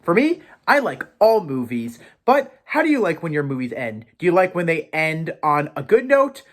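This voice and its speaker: High-voiced, theatrical man hosting. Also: huge pitch swings, normal speed. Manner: theatrical, chatty, poses questions to the audience. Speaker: host of a Pokemon education show. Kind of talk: presenter monologue to viewers, playfully in character as a professor.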